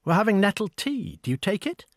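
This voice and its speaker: A man voicing a tall, proud older woman with an upper-class English accent, brisk and wry in an audiobook. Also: high voice, big pitch swings, no room sound, studio quality, voice pushed up. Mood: brisk teasing. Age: over forty. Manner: brisk, commanding, wry and talkative. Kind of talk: audiobook dialogue.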